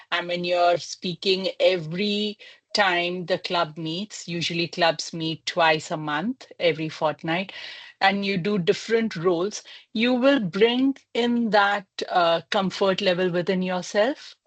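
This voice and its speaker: A woman speaker at a workshop giving encouraging, measured advice with some fillers. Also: mid-range voice, a bit bright.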